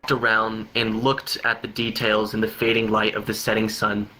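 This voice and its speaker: A man's voice: lowish voice, completely flat delivery, normal speed, sharp tone, soft background music, low-quality recording. A man reading a story aloud unrehearsed, often stumbling and repeating words. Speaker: reader of a long joke story.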